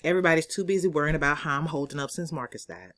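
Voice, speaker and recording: A woman's voice: low-pitched, racing, a bit bright, dry recording, studio quality. Woman narrating a sassy first-person story. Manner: conversational, sassy child's-eye storytelling. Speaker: author reading own novel.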